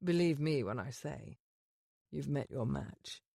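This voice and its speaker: A woman voicing a blunt, arrogant young gentleman in a lowered, cool and clipped voice for an audiobook. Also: animated, a little slow, creaky, dry recording, clean studio sound, full character voice. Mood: cocky.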